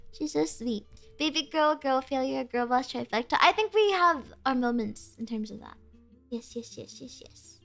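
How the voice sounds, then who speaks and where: A woman's voice: high-pitched, animated, unhurried, vocal fry, fairly bright tone, soft background music. A young woman streaming and chatting casually with her viewers, bubbly and giggly, full of "like" fillers, with a stuffy, sniffly nose.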